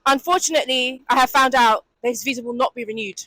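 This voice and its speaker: A woman hosting street interviews outdoors in London, reporting in a playful, deadpan mock-news style. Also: high voice, fast, background noise.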